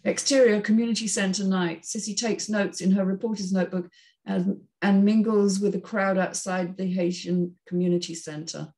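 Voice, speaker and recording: A woman's voice: medium pitch, steady tone, normal speed, darkish tone. A woman narrating stage directions at a screenplay table read, in a scripted, read-aloud style.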